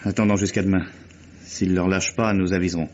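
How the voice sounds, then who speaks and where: An actor playing a curt, controlled, contemptuous office employee from in a vintage radio mystery. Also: male voice, deep voice, flat delivery, fast, darkish tone, natural voice. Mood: weary contempt. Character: cold, accusing male office employee, wearily contemptuous. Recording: dull, lo-fi old radio recording.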